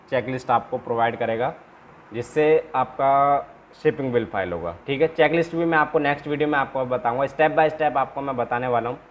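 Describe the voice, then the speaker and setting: A man with an Indian accent giving an informal step-by-step tutorial as a trainer, repeating himself and often checking in with short tags. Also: mid-range voice. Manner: repetitive, with frequent check-in tags. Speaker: export-import trainer presenting a tutorial. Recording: noticeable background noise.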